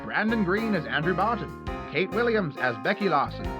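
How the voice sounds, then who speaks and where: A man's voice: high-pitched, big pitch swings, moderate pace. A man announcing in a theatrical, promotional old radio drama style, reading a script. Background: prominent music.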